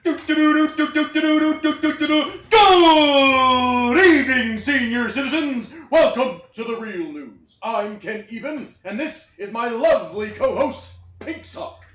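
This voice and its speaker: An actor in a play reading voicing a showy, cheesy puppet news anchor. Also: male voice, very high-pitched, a little slow, hardly any pauses, a bit bright, distant mic. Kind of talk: mock newscast in a play reading. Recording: roomy, faint noise.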